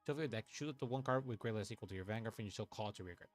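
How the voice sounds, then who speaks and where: A young man from Puerto Rico with a lowish voice chats casually through a card-game livestream. He thinks aloud, mutters and swears at his own plays. Faint music plays under his voice. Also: rapid-fire. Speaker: streamer playing an online card game. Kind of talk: casual livestream chat and play commentary.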